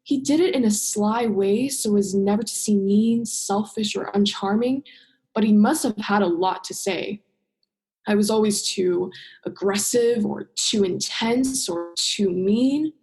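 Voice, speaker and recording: A woman's voice: mid-range voice, unhurried, a little mellow, close to an everyday voice. A woman drama student acting a bitter, sarcastic young female scientist in a radio play, sardonic and emphatic, stretching stressed words. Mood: wistful bitterness. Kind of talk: radio play monologue and dialogue.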